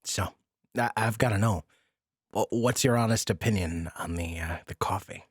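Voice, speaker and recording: Man playing a husky, awkward, dorky counselor. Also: fairly low pitch, normal speed, dry recording, studio quality. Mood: awkward, friendly. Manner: hesitant, stammering, self-deprecating. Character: gentle, awkward, dorky male counselor, good-humored. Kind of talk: naturalistic audio drama acting.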